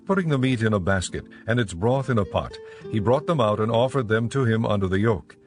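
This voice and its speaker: Low-voiced male Bible narrator. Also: animated, faint music behind. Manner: measured, storytelling. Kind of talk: scripted narration, dramatized audio Bible.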